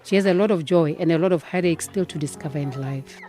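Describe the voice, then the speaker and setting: A woman's voice: low-pitched, fast, warm tone, faint music behind, close to an everyday voice. A woman with a southern African accent playing a patient, reassuring elderly grandmother in a radio drama. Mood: gentle wisdom.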